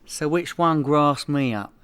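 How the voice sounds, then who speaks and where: Comedy radio play: hapless London small-time crook and dreamer played by a man, higher-pitched male voice. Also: a little slow, fairly bright tone, clean tone, no echo. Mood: wry. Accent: London English. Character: hapless petty criminal husband and dad, lying dreamer.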